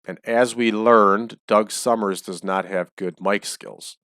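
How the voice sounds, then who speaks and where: Male let's-play host, low and close, chatty, voice slightly dry. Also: steady tone, slow, a bit bright, clear, resonant tone, dry recording. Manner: chatty, explains choices as he goes. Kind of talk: casual game commentary. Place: small home room.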